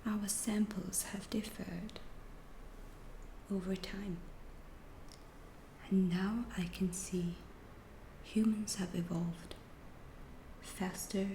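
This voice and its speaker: A woman playing a calm, curious female alien who studies humans, whispering slowly and deliberately in an ASMR roleplay. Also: mid-range voice, reverberant, a little hiss or hum, rough recording. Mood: curious, hushed. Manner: hushed, slow, deliberate, clinical phrasing.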